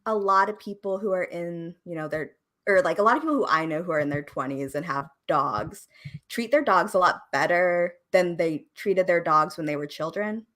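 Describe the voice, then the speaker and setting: A young woman from Oregon, in her twenties, talks through her story in an easygoing, rambling way. She leans on fillers and small laughs. Her voice sits a little low for a woman. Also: moderate pace, a bit bright. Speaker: guest, podcast producer. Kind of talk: casual conversation interview.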